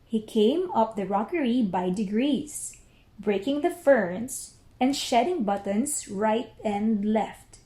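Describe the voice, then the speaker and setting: A woman storyteller reading a children's book aloud expressively and deliberately, with careful pauses. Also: on the high side, warm tone. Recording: roomy echo.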